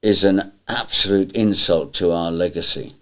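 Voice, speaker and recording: A man's voice: deep voice, normal speed, fairly bright tone. A man, a former pantomime performer, speaking bluntly and indignantly about how the show ended. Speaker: former panto cast member. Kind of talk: interview.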